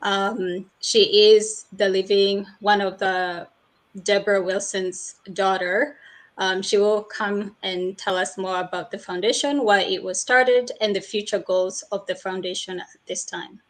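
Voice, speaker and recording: Slow, hesitant woman hosting. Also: mid-range voice, fairly even intonation. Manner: hesitant, with many fillers. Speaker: host. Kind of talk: introducing guests on an online panel. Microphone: video call.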